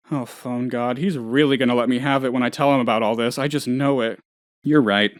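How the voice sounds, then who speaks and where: An actor playing a naive, anxious, scatterbrained office boss who rambles and gets sidetracked, in a video game. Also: male voice, medium pitch, close to an everyday voice. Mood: resigned, worried. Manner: rambling, absent-minded, easily sidetracked. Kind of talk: video game character voice lines.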